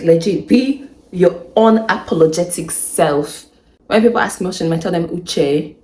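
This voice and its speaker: A young woman with a Nigerian accent giving casual advice in a vlog, chatty and quick to laugh, repeating points for emphasis. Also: lowish voice, a little mellow. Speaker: vlogger. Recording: echoey room.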